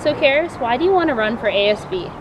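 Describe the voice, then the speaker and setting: Brisk young woman asking questions. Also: on the high side, animated, over loud music. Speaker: student interviewer.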